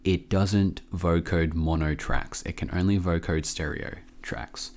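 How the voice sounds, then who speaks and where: Low-voiced man giving an offhand tutorial. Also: a little mellow. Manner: offhand, halting, low-key. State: sick with a cough. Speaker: tutorial presenter. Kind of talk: casual screen-recorded software tutorial. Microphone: close microphone.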